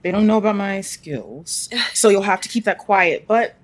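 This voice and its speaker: A woman's voice: medium pitch, moderate pace, lightly acted. An actress playing a brash, generous woman with a blunt, casual, emphatic way of talking in an audio drama. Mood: generous, breezy. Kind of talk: live audio drama acting.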